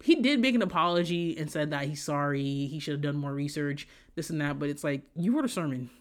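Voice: mid-range voice, animated, a little fast. A podcast host from Haiti talking casually and candidly in an opinionated, slangy monologue peppered with swearing. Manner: candid, slangy, profane, conversational.

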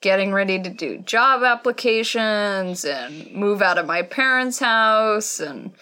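A woman in her twenties co-hosts a casual podcast chat. She delivers her humor dry and deadpan. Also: mid-range voice, unhurried. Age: 20s. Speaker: podcast co-host.

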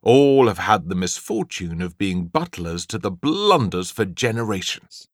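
A man's voice: low voice, very animated, unhurried, no room sound, studio quality. A man with an English accent narrating a comic children's story in a theatrical, emphatic way, drawing out key words. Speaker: audiobook narrator.

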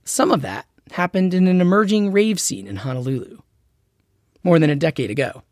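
A man's voice: high-pitched, lively intonation, brisk, dry recording. A man hosting and narrating a documentary-style story in a conversational, personal scripted way. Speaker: host and narrator.

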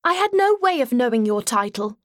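A woman's voice: sits high, expressive, moderate pace, no room sound, clean studio sound. A woman voicing a pert, matter-of-fact ten-year-old girl in a storybook, with a prim, sniffy manner. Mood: sniffy, defiant.

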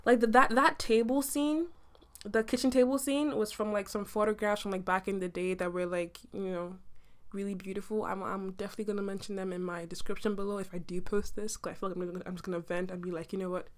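A young adult woman hosting a casual solo podcast, her voice slightly raspy from a cough, chatty and full of fillers with playful boasting. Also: medium pitch, fairly even intonation, quick pace. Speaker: podcast host.

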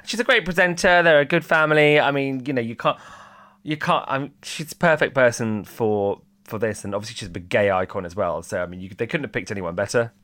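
A man co-hosting a casual chat, animated and giggly, often restarting sentences and breaking into high laughs. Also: a little fast. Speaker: podcast co-host.

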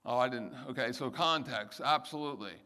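A man's voice: medium pitch, pushed and bright. Male Bible teacher in a reverberant hall. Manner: halting, restarts phrases, slows on key words.

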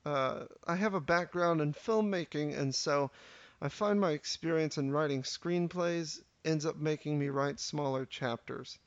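A man's voice: on the high side, measured pace, dry recording, highs cut off. A man in his thirties hosting a casual solo podcast intro, rambling and self-deprecating with lots of hesitation. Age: 30s. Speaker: podcast host.

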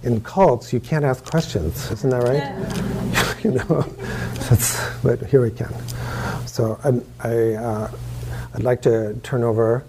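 A man introducing a guest speaker at a temple hall, talking in a halting way with many pauses. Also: mid-range voice, background noise. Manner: halting, full of fillers and restarts. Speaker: host teacher.

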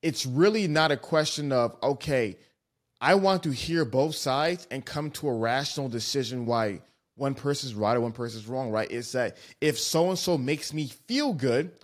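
A young man hosting a podcast speaks earnestly and reflectively, building his thoughts at length. His voice sits at an ordinary middle pitch. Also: moderate pace. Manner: earnest, reflective, long-winded. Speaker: podcast host. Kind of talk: casual podcast conversation.

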